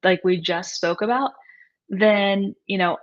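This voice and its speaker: Female interview guest, mid-pitched, candid and explanatory, conversational delivery, slightly muffled sound. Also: takes it slowly. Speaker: author and coach, interview guest. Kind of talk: casual interview talk.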